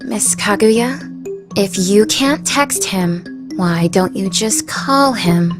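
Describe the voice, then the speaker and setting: A woman acts a teenage maid, dry and sly in a medium-pitched voice. She stays politely deadpan, with faint music behind her, in anime radio play style. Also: takes it slowly. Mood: sly coaxing. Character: cool, dry teenage maid to a rich girl.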